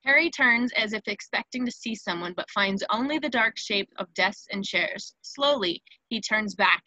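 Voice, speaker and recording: A woman's voice: medium pitch, lively intonation, normal speed, sharp tone, dry recording, low-quality recording. A woman narrating a screenplay, reading out scene headings and stage directions.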